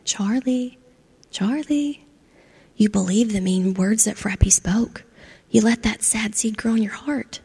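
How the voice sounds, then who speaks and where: A woman voicing a wise, gentle old oak tree in a storybook, speaking in a soft, hushed whisper. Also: medium pitch, steady tone, brisk, vocal fry, husky, heavily acted. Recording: long hall reverb.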